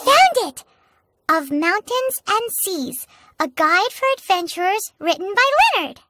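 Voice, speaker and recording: Tiny game fairy girl, extremely high squeaky voice, swooping intonation, chirpy, excitable, childlike. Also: measured pace, exaggerated voice. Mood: excited. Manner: chirpy, refers to self in third person, exclaims. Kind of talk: animated video game voice acting.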